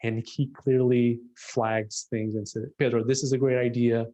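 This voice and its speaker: A man's voice: medium pitch, flat delivery, unhurried, dark tone, rough recording. A man from Portugal with a Portuguese accent, a designer talking reflectively in a casual conversation with a colleague, often restarting his sentences.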